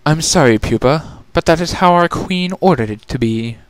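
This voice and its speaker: A man voicing a gentle, dutiful eldest sister creature, protective and regretful, with a measured, formal manner in a story reading. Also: a little high, expressive, smooth, dry recording, voice pushed up, lightly acted.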